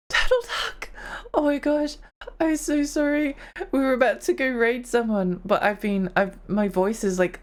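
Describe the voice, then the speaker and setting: A young woman talks casually to her stream in a mid-pitched voice. Her voice sounds worn out and strained. She rambles along in a chatty, giggly way. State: tired, strained voice. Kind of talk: casual live-stream chat.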